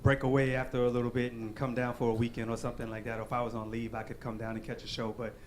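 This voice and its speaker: A man, a guest performer talking in a calm, measured way. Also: mid-range voice, slight background noise. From New York.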